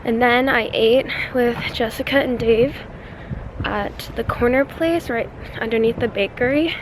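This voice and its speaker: A woman's voice: fairly high pitch, unhurried, music in the background. A woman vlogging as an exchange student, chatting casually to her handheld camera, rambling and laughing often, with a stuffy, congested nose. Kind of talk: casual vlog chat to camera. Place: dorm room, then outdoors on campus. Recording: noisy background.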